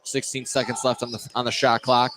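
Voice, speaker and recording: A man's voice: mid-range voice, level tone, a little fast. A man doing live play-by-play radio commentary of a basketball game. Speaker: play-by-play announcer. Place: indoor basketball game, gym noise behind. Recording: noisy live gym ambience.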